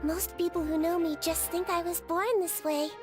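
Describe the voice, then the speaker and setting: A woman voicing a small, gentle, earnest girlish creature-doctor in a video game, speaking in measured phrases over music. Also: very high voice, level tone. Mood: wistful.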